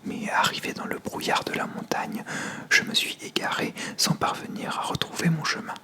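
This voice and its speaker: Gentle little songbird in a whisper by a storyteller. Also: moderate pace, lightly acted. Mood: apologetic, pleading. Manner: whispered, polite, pleading. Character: small polite songbird, gentle, cheerful yet lonely.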